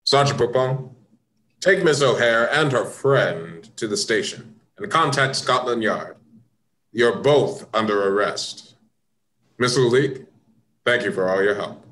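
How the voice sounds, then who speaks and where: A man with an American accent playing a firm, knowing police detective in a radio play, commanding and deliberate. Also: mid-range voice, expressive, a bit bright, slight background noise. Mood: official.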